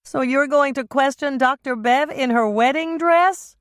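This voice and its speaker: A woman's voice: high voice, unhurried, no echo, studio quality, voice pushed up. A woman doing an emphatic, fretful sister.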